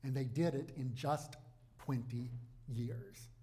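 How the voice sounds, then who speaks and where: A man preaching a sermon as a pastor, in deliberate, emphatic phrases broken by dramatic pauses. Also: medium pitch, warm tone.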